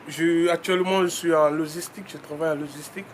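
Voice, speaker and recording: A man working as a youth housing advisor speaks in an interview, listing ideas with short pauses. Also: fairly high pitch, fairly even intonation, a crowd in the background.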